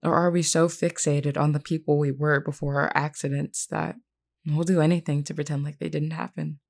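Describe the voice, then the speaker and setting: A low-voiced vampire queen played by a woman, composed and solemn, with a gravelly fry in her tone. She speaks formally and earnestly, like a caring leader in an audio drama, reassuring and measured. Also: little pitch movement, a little mellow. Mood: reflective, gentle. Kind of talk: scripted character roleplay audio.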